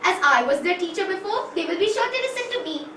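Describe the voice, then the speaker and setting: A woman's voice: very high voice, animated, fast talker, pushed and bright, full character voice. A woman playing a boastful old teacher. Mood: brisk. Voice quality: theatrical, exclaiming. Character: bold, boastful female former teacher. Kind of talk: amateur stage play. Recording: echoey hall, far from mic, faint noise.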